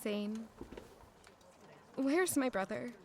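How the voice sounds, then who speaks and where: An actress playing a gentle, worried young noblewoman in an audio drama, speaking softly and earnestly. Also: fairly high pitch, expressive, very slow, a little hiss or hum. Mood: worried, pleading.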